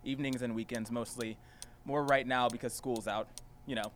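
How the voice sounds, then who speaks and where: A man's voice: medium pitch, fairly even intonation, moderate pace, slight background noise, natural voice. A man playing a giggly, awkward young boarding-house worker. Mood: rambling. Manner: nervous, giggly, rambling.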